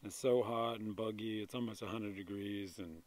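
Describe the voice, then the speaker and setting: A man in his thirties talking casually to a handheld camera outdoors in a forest, relaxed and rambling with fillers. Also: lowish voice, moderate pace. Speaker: outdoors vlogger. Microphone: handheld camera at arm's length.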